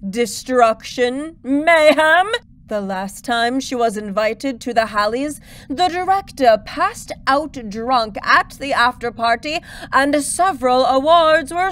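High, slow-talking theatrical diva, haughty and gossipy, comic audio drama. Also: female voice, animated, fairly bright tone, heavily acted. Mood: ominous, dramatic. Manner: theatrical, drawn-out delivery. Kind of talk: comedic audio drama acting.

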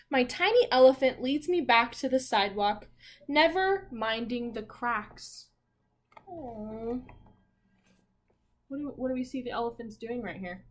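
A young woman reads a picture book to children as their teacher. Her voice sits fairly high and moves slowly. She often stops to put simple questions to her listeners and leaves room for them to answer. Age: 20s. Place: small room at home, indoors.

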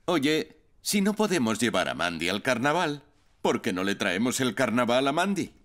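Cheerful cartoon dad. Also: male voice, mid-range voice, very animated, moderate pace, a bit bright, a little hiss or hum. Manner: cheerful, eager. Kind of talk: cartoon dubbing.

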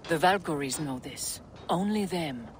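An actress playing an old woman seer, calm and resigned, speaking gently and soothingly. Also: sits low, unhurried, a little hiss or hum. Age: older. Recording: game audio with music behind.

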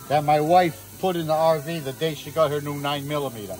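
A man's voice: fairly high pitch, brisk, darkish tone. A man in his sixties telling stories in casual chat, recorded outdoors on a phone. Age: 60s. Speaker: storyteller at a family cookout. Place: outdoors by a grill in a grassy yard.

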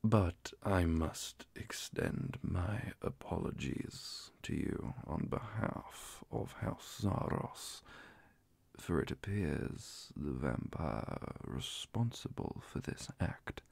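A man playing a calm, courteous male vampire inquisitor in an ASMR roleplay, speaking softly and formally with whispers and a gravelly, breathy voice. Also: deep voice, no echo, full character voice. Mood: formal, solemn. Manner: formal, measured, hushed. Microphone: close mic, dry.

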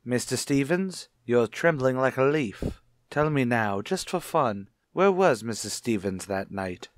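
A man's voice: medium pitch, expressive, a little slow, no echo, studio quality, natural voice. A man playing a shrewd, needling police captain. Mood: insinuating. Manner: probing, sardonic. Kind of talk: retro radio mystery acting.

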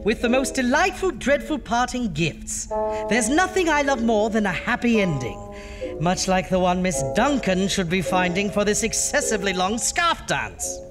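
A woman acts a campy horror hostess on a radio show, wicked and bossy. Her arch delivery swoops dramatically up and down, stretching words for effect. Loud music plays behind her. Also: medium pitch, sing-song, natural voice. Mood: gleeful, arch. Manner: theatrical, arch, drawn-out words.

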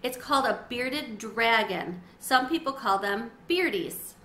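A woman's voice: fairly high pitch, measured pace, sharp tone. A woman voicing an eager, earnest young schoolgirl in a children's storybook. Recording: roomy echo, faint background noise.

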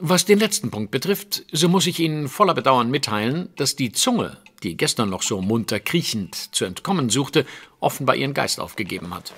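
An actor playing a witty, self-assured detective in an audio drama, speaking precisely and with dry irony. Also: male voice, medium pitch, expressive, normal speed, hardly any pauses, fairly bright tone, husky. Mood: analytical. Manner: precise, dryly ironic. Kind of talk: German audio drama acting.

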